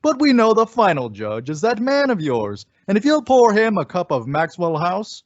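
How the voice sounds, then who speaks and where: A man plays a folksy commercial announcer in old radio style. His high voice swings melodically as he persuades. The sound is dry and a bit dull. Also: wildly swooping pitch, normal speed, no room sound. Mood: cheerfully persuasive. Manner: folksy, salesmanlike. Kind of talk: old-time radio advertising read. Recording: muffled lo-fi recording.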